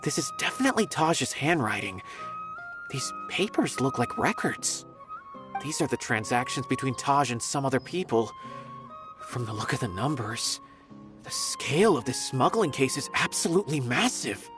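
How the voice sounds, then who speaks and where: A man's voice: mid-range voice, wildly swooping pitch, normal speed, over music. A man voicing a thoughtful scholar investigating a case in a video game, reasoning carefully aloud.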